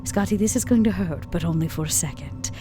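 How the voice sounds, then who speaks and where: A woman voicing a cold, menacing female vampire, speaking in a hushed, controlled and threatening way. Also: fairly low pitch, a little fast, faint music behind, close to an everyday voice.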